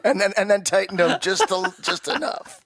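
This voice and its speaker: A man co-hosting a podcast, chiming in with chummy quick remarks. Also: sits high, sing-song, vocal fry, a bit bright, no echo. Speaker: podcast co-host. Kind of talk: casual podcast chat.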